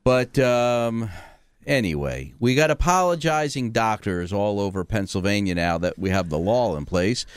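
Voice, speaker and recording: A man's voice: on the low side, expressive, moderate pace, clean tone, no room sound, clean studio sound. A male radio talk show host who talks chattily and jokes, often restarting his sentences. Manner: talkative and joking, with frequent restarts. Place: radio studio. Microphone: studio mic.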